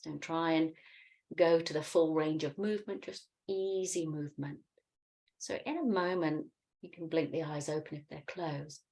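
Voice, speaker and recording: Low-pitched woman gently guiding meditation. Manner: calm, soothing, unhurried instructing with pauses. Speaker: yoga and meditation teacher. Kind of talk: guided meditation and gentle movement instruction.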